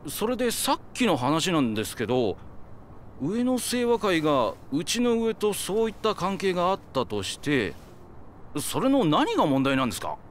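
A man playing a slow-witted, eager young yakuza underling in a game cutscene, deferential and naive, in casual junior slang. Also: high voice, very animated, slight background noise. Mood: naive questioning. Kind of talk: video game cutscene acting.

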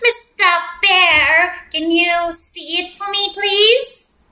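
Woman doing a squeaky pesky duck. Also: slow pace, bright, forceful tone, heavily acted. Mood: chirpy. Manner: squeaky, chirpy and pleading. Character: pesky hyper male duck neighbor. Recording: echoey room.

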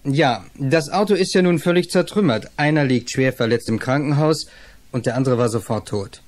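A man's voice: mid-range voice, moderate pace, a little mellow, close to an everyday voice. An actor playing a male police detective in a radio play, questioning in an inquisitive, official manner. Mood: matter-of-fact.

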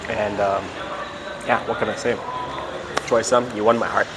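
A man's voice: on the low side, a little slow, very noisy. An American man in his thirties, vlogging casually to a handheld camera inside a small restaurant. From United States. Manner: relaxed, chatty, gestures as he talks. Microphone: camera held at arm's length.

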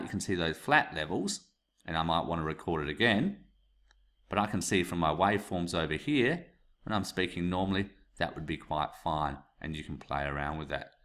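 Man, 30s, very low voice, plain step-by-step tutorial explainer on a desk USB mic. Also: a bit bright. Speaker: teacher. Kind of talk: screen-recorded tutorial. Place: small indoor room. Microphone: USB desk mic, webcam framing.

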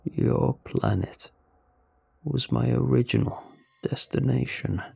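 A man voicing a stranded male alien in an audiobook-style story, with a deep, gravelly voice and slow, halting, deliberate delivery. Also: a little mellow, heavily acted. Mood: resigned, wry. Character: stranded male alien, weary, hopeful, wryly amused.

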